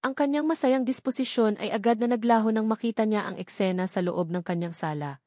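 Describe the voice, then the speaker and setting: A woman narrating a romantic drama story in a steady storytelling delivery. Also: mid-range voice, fairly quick, no echo, clean studio sound.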